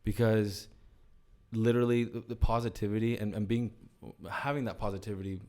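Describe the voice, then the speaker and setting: A man whose first language is Spanish, talking as a company executive guest in a casual podcast conversation, explaining things with animated enthusiasm. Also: lowish voice, a little fast.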